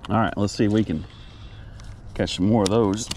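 A man's voice: lowish voice, fairly even intonation, normal speed, a little mellow, slight background noise. An American man chatting casually and in a folksy way to viewers while fishing from a kayak, recorded outdoors on an action camera. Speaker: outdoor vlogger, angler. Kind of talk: casual fishing vlog chat. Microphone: action camera at chest or head.

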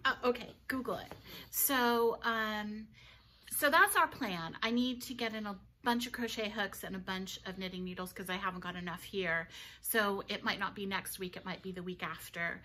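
A woman's voice: medium pitch, moderate pace, bright, forceful tone. A woman in her thirties who owns a yarn shop, chatting in a rambling, giggly way full of fillers. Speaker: yarn shop owner, co-host. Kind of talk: casual chat.

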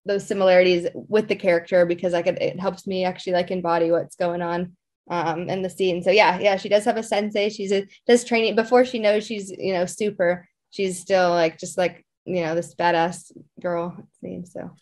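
A woman's voice: fairly low pitch, flat delivery, moderate pace, darkish tone. A woman voice actor giving a casual interview, with informal, loose phrasing peppered with filler words.

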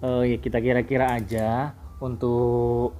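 A man talks through a drink recipe at an unhurried, slow pace. His voice is dark and mellow, with his sentence endings sinking softly. Faint room noise sits behind him. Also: medium pitch. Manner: relaxed, repetitive, keeps saying "guys", trails off quietly. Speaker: home cooking video host. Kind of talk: casual step-by-step drink tutorial voice-over.

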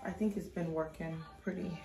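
Chatty young mom with background music. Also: female voice, fairly low pitch, steady tone, moderate pace, gravelly, dark tone. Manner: chatty, loose and informal, talks to viewers as y'all. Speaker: vlogger, mother. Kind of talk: casual vlog chat to camera. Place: small bathroom at home. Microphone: phone camera held close.

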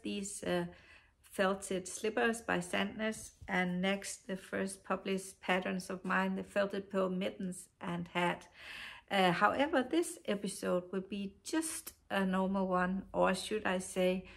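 A middle-aged woman with a Danish accent, hosting her knitting podcast from a sofa at home, talking slowly with frequent pauses and fillers. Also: mid-range voice, vocal fry, a bit bright. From Denmark. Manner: slow, thoughtful, many pauses and filler sounds. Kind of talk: casual chat to camera. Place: living room, seated on a sofa.

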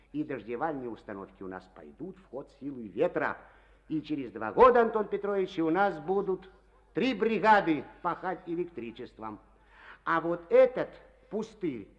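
An actor playing a boastful, hearty collective-farm chairman in a Soviet radio play, folksy and exuberant. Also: a little high. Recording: dull old archival radio recording.

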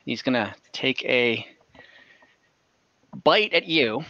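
Bright-voiced young man running the game. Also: medium pitch, expressive, slow, gravelly, dry recording. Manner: casual, hesitant, many fillers. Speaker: game master. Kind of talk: running a tabletop game on a video call. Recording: dull lo-fi call audio.

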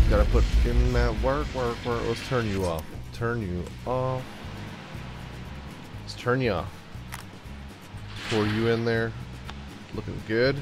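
A young man with a stuffed-up cold voice, giving jokey let's-play commentary while he plays and riffing to himself. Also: medium pitch. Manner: goofy, jokey, sings and riffs to himself. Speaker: gaming YouTuber.

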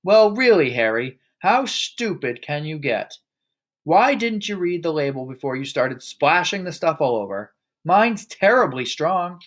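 A shrill, scornful storybook wife and mother of middle age, acted out by a male reader. The pitch leaps high and swoops around as the character scolds and exclaims. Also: big pitch swings, normal speed, fairly bright tone.

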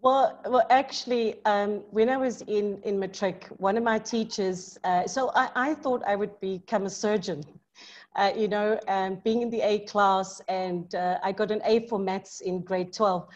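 A woman's voice: mid-range voice, unhurried. Outgoing South African woman on a video call. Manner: lively, emphatic, outgoing. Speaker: maths tutor and business owner. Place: home study with bookshelves. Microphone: laptop mic on video call. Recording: muffled video call audio.

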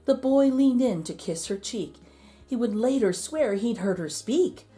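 A woman reading a rhyming children's picture book aloud in an expressive, measured storytelling manner. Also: a little high. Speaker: storybook reader.

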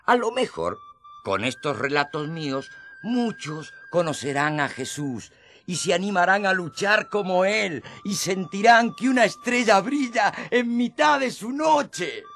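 Dreamy passionate gospel writer in a radio play, bright voice, slow n really swoopy. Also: male voice, high voice, very animated, creaky, exaggerated voice. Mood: dreamy, poetic. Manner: theatrical, emphatic, persuasive. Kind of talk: radio drama acting.

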